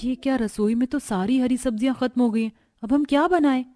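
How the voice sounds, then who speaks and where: A woman's voice: sits high, brisk, warm tone, lightly acted. A woman voicing a fretful, talkative young daughter-in-law in a cartoon family story, exclaiming and questioning. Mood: worried. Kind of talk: Hindi cartoon dubbing.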